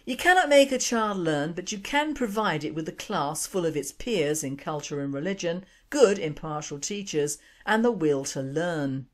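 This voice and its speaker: A low-pitched older woman delivers a scripted radio commentary. She speaks emphatically and with indignation, pushing her points with rhetorical questions. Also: lively intonation, normal speed, hardly any pauses. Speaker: radio show host.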